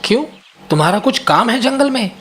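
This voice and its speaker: A man voicing a sensible, contented farmer and concerned friend who reasons gently in a children's moral story. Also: very high-pitched, expressive, a little fast, slight background noise. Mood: advising. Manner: reasoning, caring, advising.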